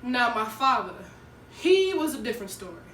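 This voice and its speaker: A woman's voice: on the high side, very animated, moderate pace, fairly bright tone. A woman playing an earnest, nervous teenage schoolgirl who tells her story in an emotive dramatic monologue. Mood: earnest, uneasy. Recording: echoey room, distant mic, faint noise.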